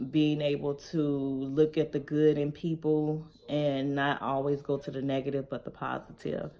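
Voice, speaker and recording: A woman, casually reading tag questions aloud and answering them in a relaxed, conversational way at home. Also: low-pitched. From Chicago, Illinois. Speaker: vlogger.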